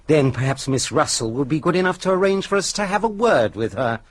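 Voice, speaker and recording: A brisk police inspector in a radio mystery. His voice is husky with a lively swing in pitch, and he asks blunt, official questions with authority. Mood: brisk, inquiring. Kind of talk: radio drama acting.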